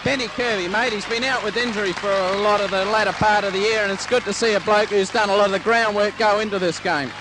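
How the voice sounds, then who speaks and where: A man talks in a plain, matey way as co-commentator at a rugby league ground, his voice sitting high. The old amateur recording carries steady outdoor noise underneath. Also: quick pace, few pauses. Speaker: co-commentator, club member. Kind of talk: casual sports commentary chat. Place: outdoor grandstand at a suburban oval.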